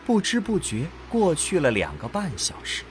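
A man's voice: on the high side, huge pitch swings, normal speed. A man narrating a scripted science-fiction audio drama in a dramatic storytelling style. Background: faint background music.

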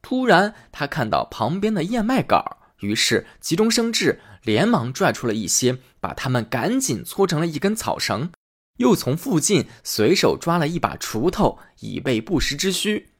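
A man hosting and reading a fairy tale aloud in an expressive storytelling manner. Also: on the high side, normal speed, breathy edge. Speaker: storyteller host.

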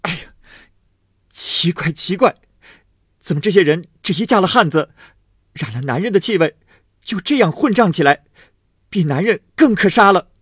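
A man voicing a tender-hearted young nobleman, emotional and quick to grieve. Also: sits high, animated, a bit bright, husky, no room sound, studio quality, voice pushed up, full character voice. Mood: indignant. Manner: pleading, grieved, indignant.

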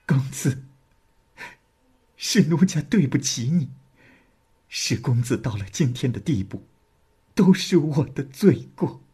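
A man's voice: medium pitch, animated, unhurried, long pauses, slightly rough. A man voicing a remorseful young woman courtesan who speaks earnestly and pleadingly. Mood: tearful.

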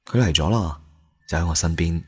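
A man's voice: low voice, a little fast, husky. A man playing a devoted, lovelorn young groom in radio drama. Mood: insistent. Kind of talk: Cantonese radio drama acting. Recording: music under the voice.

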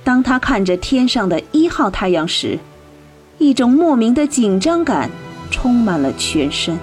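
Slow measured woman narrator. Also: a little high, very animated. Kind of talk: scripted story narration. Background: faint music.

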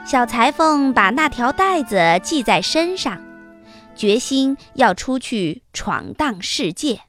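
A woman narrating a scripted fairy tale for children as the host, with expressive, deliberate storytelling. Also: fairly high pitch, faint music behind.

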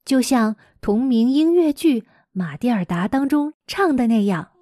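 Female children's storyteller, high voice, dry close sound, expressive and conversational, teacherly host addressing young listeners. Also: unhurried, no room sound. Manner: conversational, dramatic emphasis, addresses listeners directly.

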